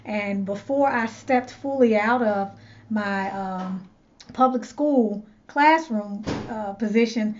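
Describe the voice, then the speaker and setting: A woman's voice: mid-range voice, takes it slowly, highs cut off, a little hiss or hum. A woman host telling a personal story in a chatty, earnest way, repeating words as she searches for them. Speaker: loc stylist and channel host. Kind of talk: casual storytelling to camera.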